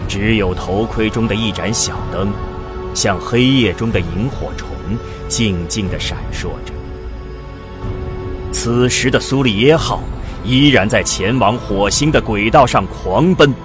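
A male narrator tells a space story for a radio drama. His voice sits low, with loud, ominous music running behind it.

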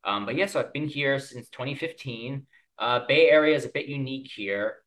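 A man's voice: medium pitch, normal speed, a bit bright. A man from Connecticut with an American accent, casually telling his own story on a podcast. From Connecticut, United States. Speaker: podcast co-host.

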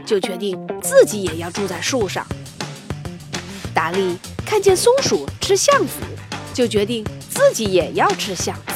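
A woman narrating a children's audio drama in an expressive, dramatic storytelling manner. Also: medium pitch, unhurried. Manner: dramatic, sometimes hushed storytelling. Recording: music behind the voice.

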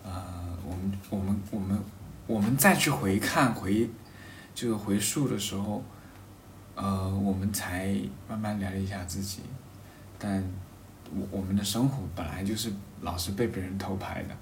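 Low-voiced man thinking aloud in a roomy space. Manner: halting, rephrases thoughts as he goes. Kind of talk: casual reflective conversation. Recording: roomy echo, faint background noise.

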